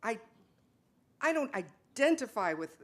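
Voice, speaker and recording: A woman performing an earnest, conflicted Mennonite wife in a dramatic stage reading, halting and emotional. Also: fairly high pitch, very animated, fairly bright tone, pitched above the performer's own voice, heavily acted.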